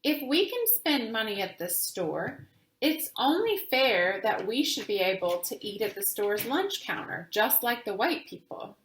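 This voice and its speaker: A woman voicing a patient, earnest father in a storybook, explaining things in a gently firm manner. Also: on the high side, big pitch swings, unhurried, roomy sound, a little hiss or hum, low-quality recording. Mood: explanatory.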